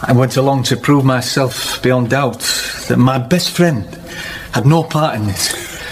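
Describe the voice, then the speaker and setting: An actor playing a sly, pious false friend in a period radio drama, with measured, formal, sanctimonious speech. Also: male voice, medium pitch, a little hiss or hum, lightly acted. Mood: feigned regret. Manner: measured, sanctimonious, formal old-fashioned phrasing.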